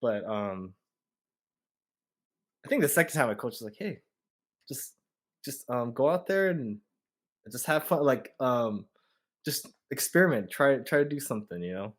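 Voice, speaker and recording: A man's voice: mid-range voice, normal speed, pauses often. A man from Hawaii chatting casually as a podcast host, telling a story in slangy speech and acting out what he was thinking. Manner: slangy, animated storyteller who acts out his thoughts.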